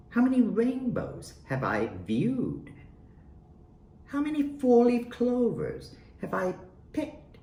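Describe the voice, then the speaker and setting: Slow, theatrical man reciting poetry. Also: high-pitched, darkish tone. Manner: theatrical, deliberate phrasing with pauses. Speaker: poet reading own work. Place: at home, roomy indoor space. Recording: roomy echo, faint background noise.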